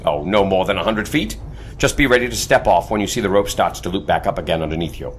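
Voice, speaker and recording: An actor playing a courteous, confident leader of secret agents in an adventure audio drama, speaking in a genteel, theatrical way. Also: male voice, low-pitched, fast talker, a little hiss or hum, close to an everyday voice. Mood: brisk, assured.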